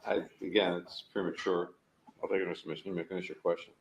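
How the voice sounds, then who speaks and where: A judge in court giving rulings and instructions to counsel and the jury in a measured, formal way. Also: male voice, sits low, level tone, echoey room, lo-fi sound, crowd noise. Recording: noisy courtroom feed.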